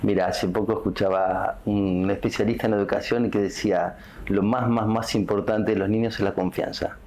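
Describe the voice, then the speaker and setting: Host: man, lowish voice, curious and encouraging interviewer. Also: fairly even intonation, normal speed, a little mellow, slight background noise. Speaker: podcast host. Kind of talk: casual interview.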